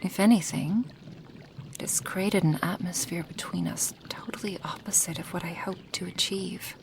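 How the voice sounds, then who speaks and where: Woman acting as a tender dragon girl, low-pitched, creaky, formal wording, soft ASMR roleplay delivery. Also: fairly even intonation. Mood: regretful, subdued. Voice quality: breathy, half-whispered. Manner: slow, formal, careful phrasing. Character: gentle, apologetic female dragon, formal and tender.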